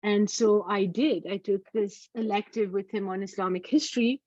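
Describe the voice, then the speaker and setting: A woman's voice: medium pitch, fairly even intonation, moderate pace, a little mellow, no room sound. A middle-aged woman from Pakistan giving an academic talk over a video call, hesitant with many fillers and restarts. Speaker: academic lecturer. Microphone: computer mic on a video call. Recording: dull, dry video call audio.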